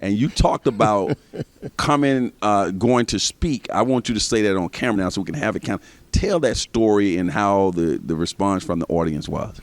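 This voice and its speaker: A man's voice: on the low side, moderate pace, no echo. A man hosting and moderating a TV panel discussion, asking questions in a casual, conversational way. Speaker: TV host and moderator.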